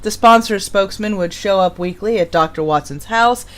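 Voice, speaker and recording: Woman streamer w a mid-pitched voice, hosting and chatting casually, reads out bits of background info. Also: moderate pace, a bit bright. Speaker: host of a live stream. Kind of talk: casual live-stream chat and reading show history.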